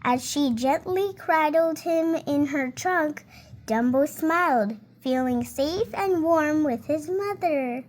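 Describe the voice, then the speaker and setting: A girl of about seven reading a storybook aloud in a careful, deliberate manner. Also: sits high. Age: child, about seven. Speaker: young reader.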